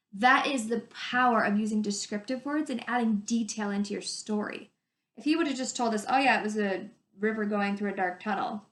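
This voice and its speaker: A woman teacher reading a story to children in an animated, emphatic way, adding her own commentary. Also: mid-range voice. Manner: animated, emphatic, stretches descriptive words. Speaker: teacher reading aloud to pupils. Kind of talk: expressive storybook read-aloud with commentary to children. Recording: echoey room sound.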